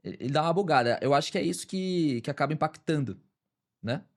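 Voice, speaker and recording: Relaxed man chatting on stream. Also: fairly high pitch, fast talker. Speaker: streamer reacting to a video. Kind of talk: casual reaction chat.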